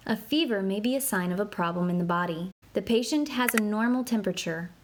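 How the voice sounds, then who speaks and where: A woman narrating an interactive children's book in a clear, explanatory way, slowing down to spell out medical terms. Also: mid-range voice. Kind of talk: scripted read-aloud narration for kids. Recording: clear background noise.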